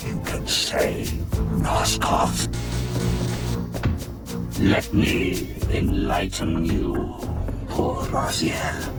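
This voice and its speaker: An actor voicing a huge, malevolent demon in a video game, taunting in a guttural, gravelly growl. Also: male voice, low-pitched, wildly swooping pitch, very slow, long pauses, exaggerated voice. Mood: mocking, menacing. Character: menacing, mocking monstrous demon, huge and malevolent. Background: dramatic game music.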